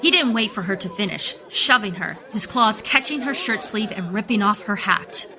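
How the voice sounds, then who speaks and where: A woman's voice: mid-range voice, lively intonation, normal speed, a faint crowd. A woman narrator reading a scripted dramatic story for a radio play. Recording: loud music under the voice. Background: loud music.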